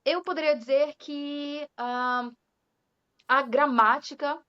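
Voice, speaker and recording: A woman's voice: sits high, fairly even intonation, takes it slowly. Chatty Brazilian woman, lo-fi sound. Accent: Brazilian Portuguese. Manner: chatty, laughs often, drops English words into her talk. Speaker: English teacher, guest. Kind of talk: casual interview chat. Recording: dull lo-fi sound.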